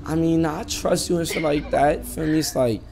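A man's voice: fairly high pitch, fairly even intonation, moderate pace, gravelly, background noise. A young man in casual roleplay chat, speaking street slang and often repeating his phrases. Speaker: streamer playing a GTA roleplay character.

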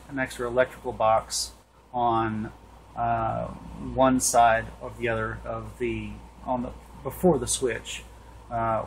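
A man in his forties with a middling-low voice talks through a hands-on technical job. He is informal and halting, thinking aloud as he goes. It is an amateur camera recording from a workshop with some noise behind him. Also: poor audio. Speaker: HVAC tutorial presenter. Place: indoor workshop with metal shelving.

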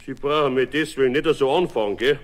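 An actor playing a slow, reluctant, dithering tradesman with a Bavarian accent in a radio play. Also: mid-range voice, close to an everyday voice. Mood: phlegmatic. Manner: phlegmatic, dithering. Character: slow, unhurried, reluctant tradesman, easily offended.

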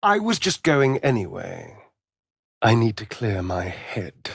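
A sardonic male vampire rogue from a fantasy game. His arch, seductive drawl is unhurried and swings theatrically up and down. The recording is dry and close. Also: on the low side, sing-song, vocal fry, husky, no echo, full character voice. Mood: teasing menace.

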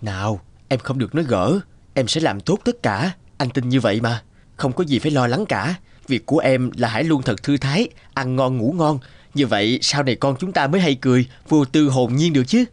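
A man's voice: medium pitch, expressive, brisk, lightly acted. A man playing a devoted, anxious young husband and new father in a dubbed cartoon drama, speaking earnestly and emotively. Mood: tender, reassuring. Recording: music behind the voice.

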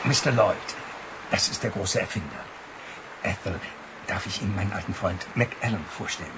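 An actor in a 1980s German radio drama playing a genial, eager go-between, effusive and formally courteous in a flowery way. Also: male voice, low-pitched, expressive, moderate pace, noisy background. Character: genial, eager man, go-between among financiers.

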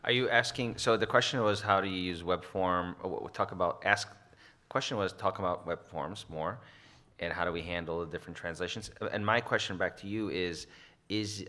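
A man's voice: deep voice, sharp tone. A man presenting at a conference, answering audience questions with many restarts and fillers. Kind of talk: Q&A after a conference talk.

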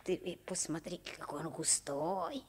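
A woman's voice: low-pitched, unhurried, a little mellow, a little hiss or hum. An actress playing a boastful but hesitant ten-year-old boy in a children's radio play, boyish and earnest. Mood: reluctant, stalling. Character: schoolboy of about ten, would-be sailor, boastful but hesitant.

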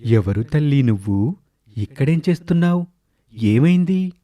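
An actor playing a kindly holy man who speaks in a calm, fatherly way in a moral tale. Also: mid-range voice, measured pace, natural voice. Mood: concerned. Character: kindly holy man swamiji consoling a young girl. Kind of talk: dramatised moral storytelling.

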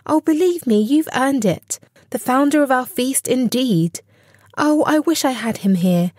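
Man as high-pitched, indignant wife. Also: expressive, measured pace, darkish tone, no echo, studio quality, exaggerated voice. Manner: scolding, emphatic. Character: indignant, loving, sharp-tongued working-class wife and mother.

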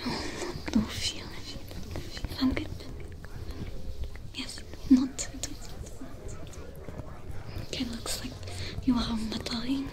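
A whispered, French-accented young alien smuggler, played by a woman, who coaxes and reassures as she patches up a hurt stranger. Her voice sits high and moves slowly, very close to the mic. It is soft-spoken ASMR with a calm, tending manner. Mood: soothing whisper. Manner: gentle, reassuring, coaxing. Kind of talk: ASMR roleplay. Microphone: close to the camera.

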